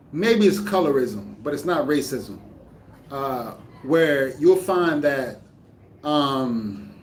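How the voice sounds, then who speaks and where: A man talks casually to his camera, rambling and restarting his sentences as he works out his thoughts. His voice sits a little high for a man and comes from some distance away, in a room that echoes, with a faint hum of ambience behind him. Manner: rambling, restarts often, thinking aloud. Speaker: vlogger. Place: living in the Dominican Republic.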